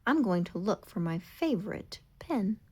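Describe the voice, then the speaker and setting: A woman voicing an excitable, tender-hearted little girl in a storybook, earnest and exclamatory. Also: medium pitch, unhurried, slightly rough.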